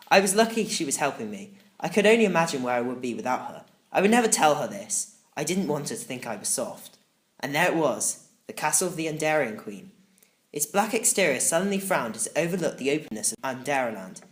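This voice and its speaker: Very animated man narrating a children's story. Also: fairly high pitch. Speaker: audiobook narrator. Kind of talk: scripted first-person children's story narration.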